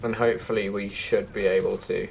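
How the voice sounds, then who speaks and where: A man's voice: fairly low pitch, flat delivery, fairly quick, creaky, a little mellow, a little hiss or hum, rough recording. A man giving casual, chatty walkthrough commentary as a gaming video host, often thinking aloud. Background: background music.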